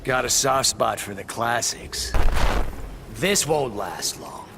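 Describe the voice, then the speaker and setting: A man's voice: mid-range voice, lively intonation, takes it slowly. A man playing a cold, taunting ice-gun villain in a video game, dry and unruffled. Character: cool, taunting male ice-gun supervillain. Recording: game audio with noisy backdrop.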